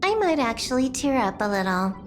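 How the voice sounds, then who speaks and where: An actress playing a wry, playful confidante in a video game, with a teasing, drawn-out delivery. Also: female voice, fairly high pitch, very animated. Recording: music under the voice.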